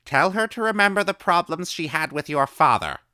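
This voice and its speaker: A man voicing an eccentric, eager middle-aged woman recluse who speaks in loud, excitable, cryptic bursts. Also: a little high, fairly quick, no room sound, studio quality, pitched above the performer's own voice.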